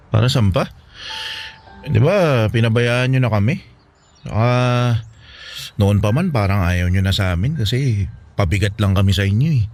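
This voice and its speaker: A fairly low voice of a young Filipino son, bitter and wounded. He reproaches with hesitant pauses in a radio drama, with faint music behind him. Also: a little mellow. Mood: bitter, dismissive. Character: bitter, wounded grown son, eldest sibling.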